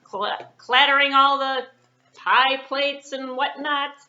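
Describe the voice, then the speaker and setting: A woman's voice: sits high, level tone, slow, bright, forceful tone, dull audio, faint music behind. A woman storyteller narrating a children's puppet story in an informal, conversational way with asides to her listeners.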